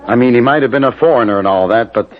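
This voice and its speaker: An actor playing a gruff, wary working-class man in a 1970s radio drama, blunt and defensive. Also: mid-range voice, fast talker, natural voice. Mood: alarmed, indignant. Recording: muffled vintage radio broadcast.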